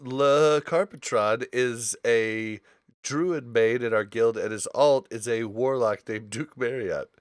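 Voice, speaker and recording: A man hosting a casual gaming podcast, talking informally and thinking aloud in halting phrases. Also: medium pitch, clean tone, dry recording, studio quality. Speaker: podcast host.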